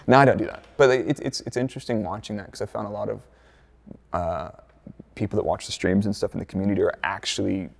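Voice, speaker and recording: A laid-back American man from Dallas talks through interview answers with a low, gravelly voice. He keeps it chatty, full of fillers and jokey asides. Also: fairly even intonation, fast talker. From United States, Dallas. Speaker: F1 content creator, former performance engineer.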